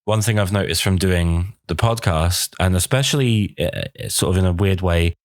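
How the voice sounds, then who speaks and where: A man's voice: sits low, normal speed, no echo, studio quality. A man hosting a podcast interview, bunged up and nasal from a cold, chatty and self-deprecating. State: congested from a cold. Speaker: podcast host. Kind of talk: casual interview chat.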